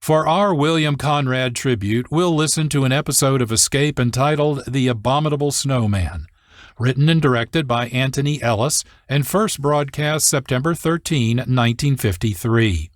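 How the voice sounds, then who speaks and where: A man hosting a radio program, reading scripted, explanatory narration in a measured way. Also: medium pitch, no room sound, clean studio sound. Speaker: radio show producer and host.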